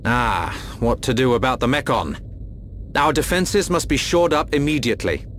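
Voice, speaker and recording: A dignified royal regent acted by a man in a video game drama. He speaks with formal authority and stately care at a medium pitch. A faint ambience lies behind him. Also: animated, normal speed, fairly bright tone, a little hiss or hum, lightly acted. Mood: concerned.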